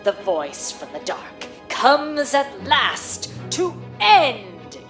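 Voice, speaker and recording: A woman's voice: a little high, very animated, very slow, fairly bright tone, faint music behind, full character voice. A woman playing an ominous herald of doom in a fan drama, chanting theatrical rhymed threats. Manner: theatrical, incantatory.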